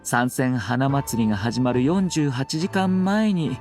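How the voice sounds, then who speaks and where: Actor as courteous game official: mid-pitched, lively intonation, formal explanatory delivery, faint music. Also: male voice, normal speed, lightly acted. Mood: courteous, businesslike. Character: courteous, diligent male official. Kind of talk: Japanese video game voice acting.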